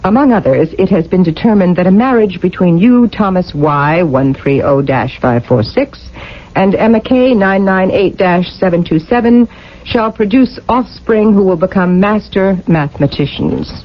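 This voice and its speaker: A woman's voice: medium pitch, animated, normal speed, close to an everyday voice. A stern, officious programmer of a future society voiced by a woman speaks in formal, bureaucratic phrases. It is radio drama acting, heard through a dull vintage recording. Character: cold, officious female district programmer of a future state.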